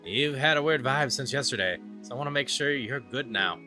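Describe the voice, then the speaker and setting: A man's voice: mid-range voice, expressive, brisk, lightly acted. A man voicing an exuberant, big-hearted adventuring friend in a video game. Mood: caring, teasing. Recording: game music under the voice.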